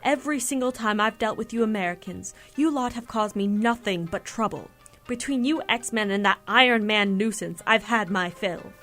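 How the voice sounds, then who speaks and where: A woman's voice: medium pitch, normal speed, a bit bright, soft background music, lightly acted. A woman playing a proud, impatient and disdainful figure in an audio drama, haughty and cutting in manner. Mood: scornful. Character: proud, impatient, disdainful Japanese mutant acquaintance. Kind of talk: scripted audio drama acting.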